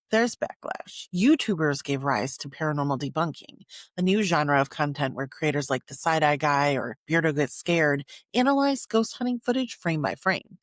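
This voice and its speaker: Low-voiced woman narrating with lively pitch swings. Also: no echo, clean studio sound. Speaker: podcast host. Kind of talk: scripted documentary-style narration.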